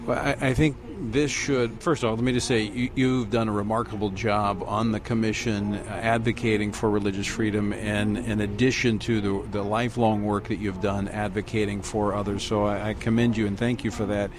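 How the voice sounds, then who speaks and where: A man's voice: lowish voice, little pitch movement, normal speed, hardly any pauses. A man hosting a news interview, who often stumbles and repeats words as he frames his questions. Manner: hesitant, repeats words while framing questions. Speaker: news host.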